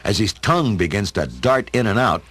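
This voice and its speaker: A man's voice: fairly low pitch, expressive, brisk, a bit bright, a little hiss or hum. A man giving dramatic scripted narration as the narrator of a radio play. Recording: music behind the voice.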